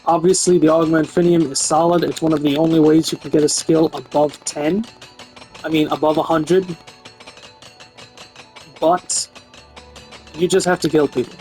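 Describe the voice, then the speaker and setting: A man's voice: a little high, steady tone, moderate pace, pauses often, a little mellow. A man giving a casual, unscripted video game review in a frank, opinionated way. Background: music behind the voice.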